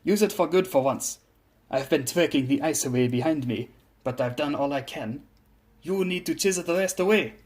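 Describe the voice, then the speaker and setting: Man putting on an arrogant German warship woman, raised pitch. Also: moderate pace, darkish tone, natural voice. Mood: commanding. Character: arrogant, impatient female German warship spirit. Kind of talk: dramatised story reading.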